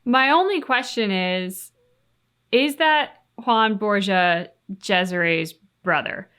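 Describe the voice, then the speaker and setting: A woman's voice: mid-range voice, animated, slow, a bit bright, smooth. A woman co-hosting a reaction show, chatting casually in a musing, questioning way. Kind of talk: casual chat reacting to game cutscenes.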